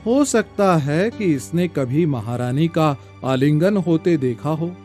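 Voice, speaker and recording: A man voicing a jealous, suspicious king in an animated storybook tale, stern and brooding in manner. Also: on the high side, slow, soft background music. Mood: brooding suspicion. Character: proud king, jealous, suspicious and easily startled.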